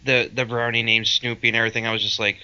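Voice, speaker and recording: A man hosting a podcast, chatting casually in an excitable way, often stammering and repeating words. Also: mid-range voice, rapid-fire, clean tone. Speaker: podcast host. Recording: dull lo-fi podcast audio.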